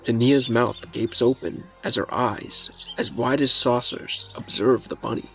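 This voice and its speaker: A man's voice: medium pitch, fairly even intonation, measured pace, gravelly, rough recording. Male story narrator over faint music.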